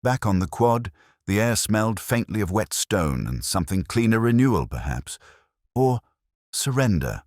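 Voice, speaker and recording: A man playing a brooding, philosophical police inspector who narrates his memories solemnly, in measured literary phrases, as in a scripted detective audio drama. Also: on the low side, very animated, darkish tone, no echo, studio quality. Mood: melancholy, reflective.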